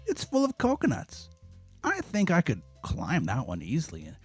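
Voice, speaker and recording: A man voicing an excited young boy in a storybook, speaking high and eager. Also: moderate pace, husky, faint music behind, heavily acted.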